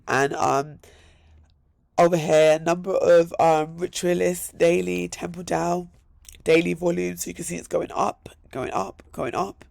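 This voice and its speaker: Upbeat gravelly man. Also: a little high, a little mellow, no room sound, clean studio sound. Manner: repeats words in threes, upbeat. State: hoarse. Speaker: crypto vlogger. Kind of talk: casual screen-share walkthrough.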